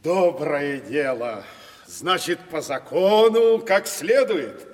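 An actor playing a sly, deferential old-fashioned merchant in a 1970s radio play. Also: male voice, a little high, animated, slow pace. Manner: deferential, sly, drawling with old-style "-s" politeness. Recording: reverberant studio.

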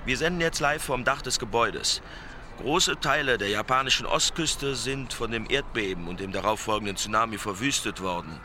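A man's voice: medium pitch, normal speed, sharp tone, slight background noise. An actor playing a male news correspondent giving a sober, formal, factual live report in a radio play. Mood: sober, grave. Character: male German TV correspondent reporting live from disaster-struck Tokyo.

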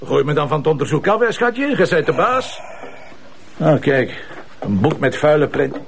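An actor with a Flemish accent playing an irreverent, sardonic police commissioner in a TV crime drama, talking in a wry, colloquial way. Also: male voice, on the high side, very animated, moderate pace, vocal fry, a little hiss or hum. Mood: mocking. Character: irreverent, sardonic male police commissioner needling his superiors. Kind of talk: naturalistic TV crime drama acting.

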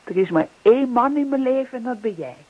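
A woman acting a devoted, affectionate wife in a radio play, earnest and coaxing, with a husky voice. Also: a little high, lively intonation, fast talker. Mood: earnest, loving. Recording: muffled old radio recording.

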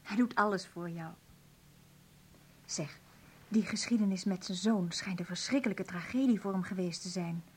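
A woman's voice: lowish voice, normal speed, long pauses, a little mellow, slight background noise, close to an everyday voice. An actress playing a proud, insistent woman pressing her partner in a radio drama, with a challenging, sarcastic edge. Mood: confiding. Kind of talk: radio play acting.

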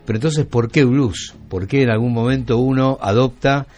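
A man with a Buenos Aires Argentine accent hosting a conversational radio interview, asking long, reflective questions with pauses and repeated words. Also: mid-range voice, a little mellow, a little hiss or hum. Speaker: radio show host interviewing musicians.

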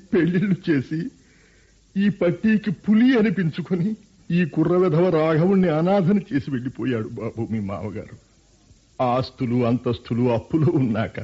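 A sardonic old patriarch, husky, dull old radio-play sound. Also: male voice, on the high side. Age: older. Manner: dry, cutting remarks. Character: shrewd elderly grandfather, blunt family elder. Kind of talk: 1970s-style radio play acting.

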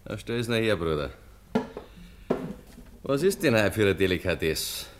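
Radio drama role: bullying workhouse drifter, fairly low pitch, mocking and sneering. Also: male voice, animated, unhurried, noisy background. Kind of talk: German radio drama acting.

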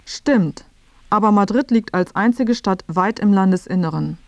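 A woman's voice: mid-range voice, normal speed, vocal fry, a little mellow, dry recording. Woman narrating scripted radio, dry close sound.

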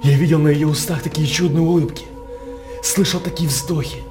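A man's voice: on the high side, fairly even intonation, moderate pace, over music, close to an everyday voice. An actor playing a hopeful, lovestruck nobleman in a radio stage drama, speaking with earnest, poetic declamation. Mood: ardent, humble.

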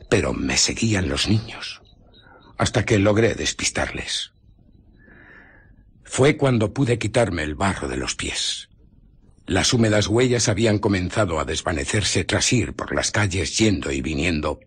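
An actor playing a bitter, haunted scientist and fugitive, recounting his ordeal in a radio play with theatrical, deliberate pauses. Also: sits low, husky, exaggerated voice. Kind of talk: dramatic radio play narration.

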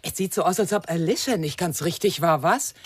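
An actress playing a lively, affectionate, gossipy friend with a familiar manner in a radio crime drama. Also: female voice, lowish voice, fairly quick, breathy edge. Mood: familiar, curious. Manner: animated, familiar. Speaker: actor.

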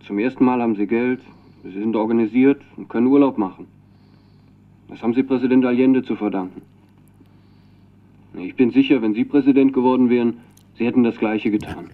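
A man's voice: medium pitch, steady tone, fairly quick, pauses often. Earnest young man, thin phone-like sound. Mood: earnest, eager. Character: earnest young Chilean man, devoted admirer of a poet. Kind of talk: radio drama acting, recorded voice letter.

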